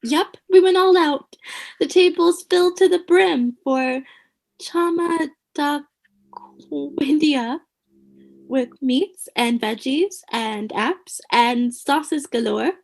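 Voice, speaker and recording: A woman's voice: high voice, takes it slowly, low-quality recording. A woman playing a wholesome, eager daughter in a comedic radio play, sweet and gushing.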